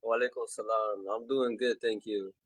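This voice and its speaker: A man's voice: fairly low pitch, fairly even intonation, moderate pace, slightly rough, no echo. A man from Chicago with an American accent, chatting casually and hesitantly as a podcast guest. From Chicago, United States. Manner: hesitant, frequent "like". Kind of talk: casual interview chat.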